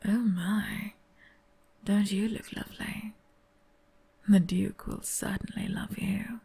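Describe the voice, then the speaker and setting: A woman playing a polite, sly servant maid in an ASMR audio drama, soft-spoken and often whispering. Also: mid-range voice, fairly even intonation, takes it slowly, creaky, husky, heavily acted.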